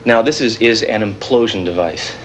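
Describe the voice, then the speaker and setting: A male actor plays a defiant bomb-builder in a realistic TV drama. His voice sits on the low side and he explains in stumbling, rambling bursts, nervous yet threatening. A light layer of noise covers the 1980s live-feed sound. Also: close to an everyday voice. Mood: nervous, halting. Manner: halting, rambling, stop-start explaining. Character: nervous but defiant male bomb-builder terrorist with technical know-how. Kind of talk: naturalistic TV drama acting.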